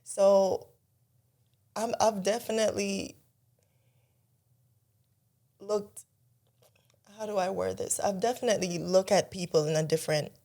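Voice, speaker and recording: A woman's voice: medium pitch, measured pace, long pauses. A woman from Jamaica, a podcast guest telling her life story in earnest, casual conversation. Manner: earnest, storytelling, animated when recalling childhood.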